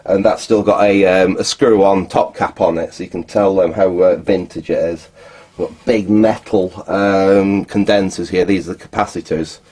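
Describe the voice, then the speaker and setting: A man giving a casual, unscripted, matter-of-fact video tour in a halting, rambling way. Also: low voice, fairly even intonation, dark tone, husky, dry recording. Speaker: vlogger, hobbyist restorer.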